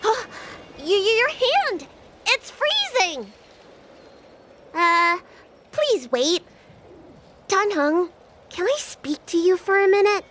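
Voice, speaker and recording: A woman voicing an earnest, easily flustered young girl healer in a video game, expressive and a little self-important. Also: extremely high pitch, drawn-out words, long pauses, a little hiss or hum, heavily acted. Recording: music playing behind the voice.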